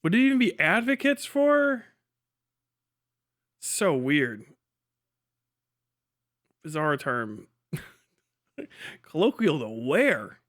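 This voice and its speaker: High-pitched, blunt male streamer. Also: big pitch swings, very slow, pauses often, fairly bright tone. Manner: profane, blunt, rambling. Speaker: streamer reacting to videos. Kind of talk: casual live-stream commentary.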